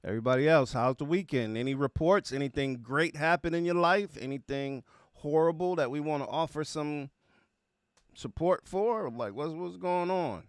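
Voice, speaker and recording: A man's voice: fairly high pitch, normal speed, clean tone, no echo, clean studio sound. A man hosting a livestream, chatting casually and slangily as he greets viewers by name. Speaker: livestream host of music reaction show.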